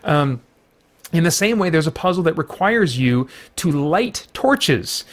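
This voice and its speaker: A man's voice: fairly high pitch, big pitch swings, a little slow, fairly bright tone. A man giving a casual spoken game review, rambling with many fillers and restarts and sounding mildly exasperated.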